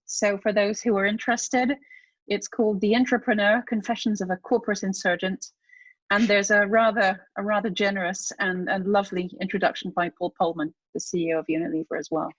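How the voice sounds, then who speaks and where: Female podcast host, low-ish husky voice, affirming and light, dull dry audio. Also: steady tone, a little fast, no echo. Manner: warm affirming replies, gentle joking. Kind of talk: interview hosting.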